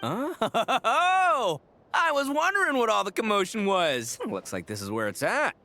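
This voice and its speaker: A man playing a boastful, goofy young gang boss with a loud, theatrical swagger in a video game. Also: high voice, sing-song, measured pace, slight background noise, exaggerated voice. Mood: amused. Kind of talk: animated video game voice acting.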